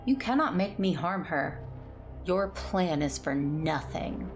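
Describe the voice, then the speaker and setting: A woman's voice: on the low side, expressive, slow pace, faint music behind. A woman playing a determined, earnest captive Jedi, narrating and speaking in an audio drama. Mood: pleading, defiant. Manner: introspective, earnest first-person narrating.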